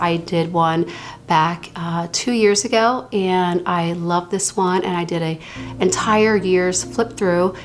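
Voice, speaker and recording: A woman casually talking through her reading journal on her channel, her voice still slightly hoarse from a recent illness, chatty and stretching out words she wants to stress. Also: lowish voice. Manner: chatty, drawn-out emphasis on words she likes.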